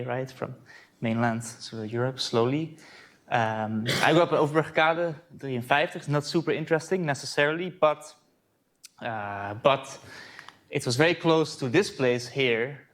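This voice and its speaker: A man's voice: medium pitch, normal speed, a bit bright. Dutch man giving a casual talk. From Netherlands. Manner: conversational, frequent "uh" and "right?" asides. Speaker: astronomy lecturer. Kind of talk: conference talk with slides.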